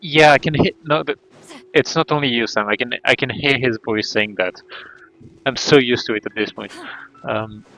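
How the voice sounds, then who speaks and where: A man's voice: medium pitch, moderate pace, bright, forceful tone, rough recording. A man talking casually as a game livestreamer.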